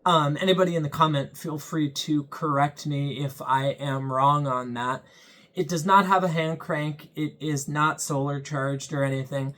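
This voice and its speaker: A man's voice: on the high side, fairly even intonation, moderate pace, a bit bright. A young man hosting his own channel, giving a casual, earnest product review in a plain-spoken way with frequent ums. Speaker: channel host reviewing a weather radio.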